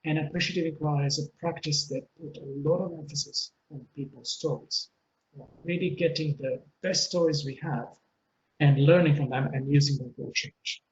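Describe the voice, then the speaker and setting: A man's voice: fairly high pitch, fairly even intonation, moderate pace. A middle-aged man from Israel with a mixed Israeli accent, presenting an earnest webinar talk full of hesitations and fillers. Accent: Israeli, mixed with Texan, Scottish and London. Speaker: webinar speaker and coach. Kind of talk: presenting a pre-recorded webinar. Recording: dull lo-fi webinar audio, faint hiss.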